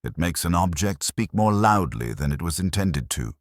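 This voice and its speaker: Male narrator for literary mystery, very deep, expressive range, dry studio sound, measured and wry. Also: no room sound. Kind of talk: scripted audiobook-style narration of a mystery story.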